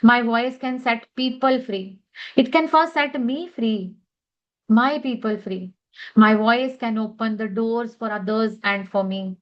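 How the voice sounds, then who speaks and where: A woman leading a guided tapping session, speaking affirmations in a slow, measured way. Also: on the high side, highs cut off.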